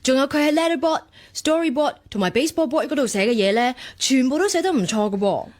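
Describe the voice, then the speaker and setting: A woman's voice: sits high, animated, quick pace. An actress playing a playful, romantic young woman in a Cantonese radio drama, with a Hong Kong accent. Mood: excited, amused. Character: playful, romantic, impulsive young woman smitten with online stranger.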